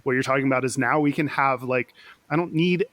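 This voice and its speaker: A man's voice: medium pitch, fairly quick, no room sound. Chatty male podcast host, dry sound. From Houston. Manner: chatty, wry, animated when making a point. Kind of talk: casual chat.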